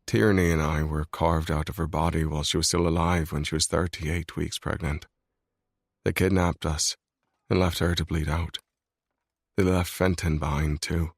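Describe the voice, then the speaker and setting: A man voicing a brooding, controlled mafia boss in a scripted audiobook reading, low and grave. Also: level tone, normal speed, vocal fry, no echo, studio quality, full character voice. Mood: grim. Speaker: male lead voice in audiobook.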